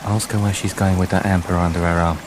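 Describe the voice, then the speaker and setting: A man's voice: low voice, little pitch movement, quick pace, background noise, lightly acted. An actor playing a fussy, self-important writer narrating his fairy tale in a comic radio play, florid and testy.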